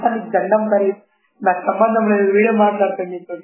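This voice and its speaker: A man's voice: sits high, level tone, quick pace. A man making a prank phone call, persistently pushing his proposal. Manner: pleading, persistent, pushy. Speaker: prank caller. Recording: tinny phone line.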